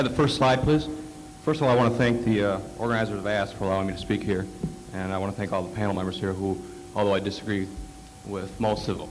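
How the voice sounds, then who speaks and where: Guy with a lowish voice doing a slide talk at a conference, kinda halting, lots of uhs, getting a cold. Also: background noise. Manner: halting, many fillers and restarts. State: coming down with a cold. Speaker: conference speaker. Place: conference hall.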